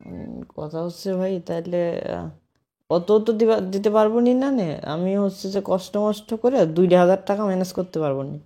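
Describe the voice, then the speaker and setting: Soft-toned mellow Bangladeshi man, quick words, hesitant, unassuming, informal phone chat. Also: sits high.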